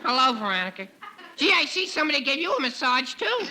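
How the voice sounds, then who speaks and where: A man's voice: very high voice, very animated, fast, noisy background. An actor playing a dim, contrary, literal-minded teenage boy in a 1940s radio sitcom, heard on an old recording. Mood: dopey.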